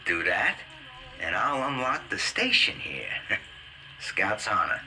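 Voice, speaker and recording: Shifty, swooping, sharp-voiced weasel. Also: male voice, on the low side, very animated, slow, slight background noise, full character voice. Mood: sly, smug. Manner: wheedling, stammering, slippery. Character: shifty, scheming, cowardly male security-booth weasel. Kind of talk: video game voice acting.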